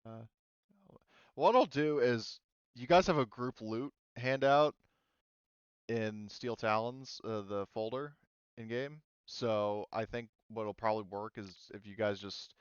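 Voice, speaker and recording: A man's voice: medium pitch, takes it slowly, clean tone, dry recording, dull audio. A man running an online tabletop roleplaying game as game master, talking casually in a hesitant, rambling way with trailing thoughts.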